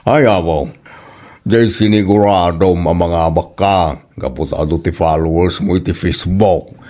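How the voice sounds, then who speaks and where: A man's voice: sits low, normal speed, mellow, natural voice. A man with an Ilocano accent voicing a mocking older village man in a comedy drama.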